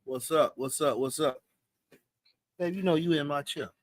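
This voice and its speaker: A man's voice: fairly high pitch, moderate pace, no room sound. Brief: man, fifties, live-stream host, casual and confident, dry close sound. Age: 50s. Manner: loose, slangy, confident and talkative.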